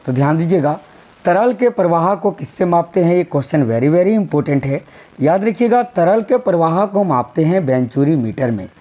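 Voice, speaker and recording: A hoarse man teaching a quiz-style science lesson for exam prep, repeating each answer and telling viewers to remember it. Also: a little high, animated, normal speed, dark tone, lo-fi sound. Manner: repeats answers, coaxing "याद रखिएगा".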